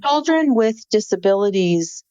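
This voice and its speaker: A woman's voice: mid-range voice, lively intonation, unhurried, mellow, clear, resonant tone, dry recording. A woman co-hosting a casual podcast, earnestly explaining background and retelling what she saw. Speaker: podcast co-host. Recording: dull, dry, slightly lo-fi mic.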